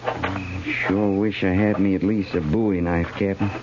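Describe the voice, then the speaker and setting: Deep male voice, actor as gruff frontier sergeant, plain-spoken, suspicious, 1950s radio drama, muffled sound. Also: little pitch movement, moderate pace, noisy background, close to an everyday voice. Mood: wary. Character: gruff, wary cavalry sergeant.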